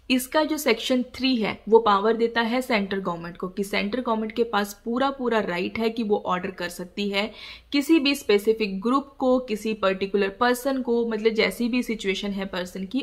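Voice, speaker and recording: Indian woman explaining in an informal way. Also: mid-range voice. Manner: explanatory, mixes in English terms. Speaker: legal educator, presenter. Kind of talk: informal explanatory legal talk.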